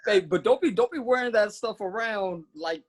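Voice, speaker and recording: A man's voice: high voice, normal speed. A man co-hosting a comedy podcast who teases the others in a needling way. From Los Angeles. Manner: teasing, needling. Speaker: podcast co-host. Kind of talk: casual comedic chat.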